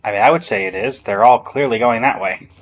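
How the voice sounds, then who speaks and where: A man's voice: medium pitch, fairly quick. Casual male game streamer. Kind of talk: casual gameplay commentary and reading dialogue aloud.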